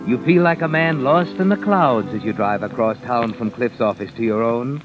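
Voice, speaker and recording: An actor playing a sinister, knowing narrator in an old radio mystery, taunting and insinuating in the second person. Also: male voice, mid-range voice, moderate pace, lightly acted. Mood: sly, knowing. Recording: muffled vintage radio broadcast over music.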